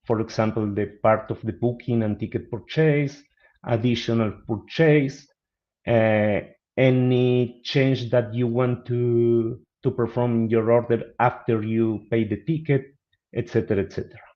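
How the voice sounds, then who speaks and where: Slow Argentine-accented man on dull call audio. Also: medium pitch, steady tone. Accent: Argentine Spanish. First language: Spanish. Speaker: guest speaker, business analyst. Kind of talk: webinar presentation.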